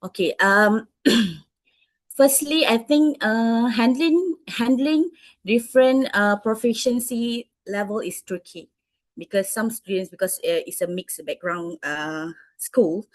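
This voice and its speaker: A woman's voice: fairly high pitch, slow pace, no echo. Malaysian-accented woman, 30s, teacher being interviewed, dry close sound, hesitant. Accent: Malaysian English. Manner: hesitant, many fillers and restarts. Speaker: English teacher interviewed by students. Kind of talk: answering interview questions.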